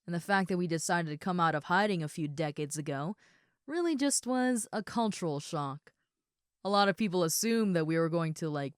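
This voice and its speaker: A woman playing a big, friendly ogre roommate in an audio roleplay, chatting casually and gently to the listener. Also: lowish voice, smooth, dry recording, clean studio sound, close to an everyday voice. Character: huge muscular red female ogre roommate, friendly and easygoing.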